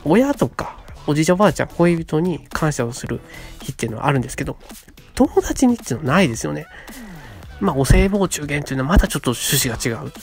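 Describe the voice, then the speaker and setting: A man of about thirty, telling stories and pitching ideas as a radio co-host in a polite, conversational way. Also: very animated, fast. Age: around 30. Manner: polite, conversational, acts out short quotes. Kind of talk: casual monologue, storytelling and pitching ideas. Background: background music.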